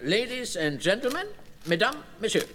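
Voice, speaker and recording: An actor playing a grandiose, ceremonious count in an audio drama. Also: male voice, high voice, very animated, normal speed, a bit bright. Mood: pompous, welcoming. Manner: pompous, ceremonious. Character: grandiose count addressing the press at his castle. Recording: reverberant noisy audio drama clip.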